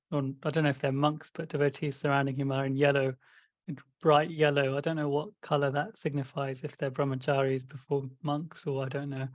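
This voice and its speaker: A man's voice: a little high, dry recording. A man co-hosting a podcast, talking things through in a thoughtful, explanatory way with many fillers. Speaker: podcast co-host. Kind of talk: casual discussion.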